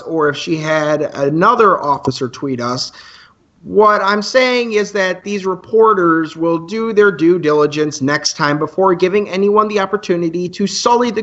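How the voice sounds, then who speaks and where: A man acts as an earnest young male student hosting a campus radio show in an audio drama. His voice sits fairly high, with polite, mock-official announcing and dry asides. The recording is a little dull and lo-fi. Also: a bit bright. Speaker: radio co-host character. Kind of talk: radio play in campus news broadcast style.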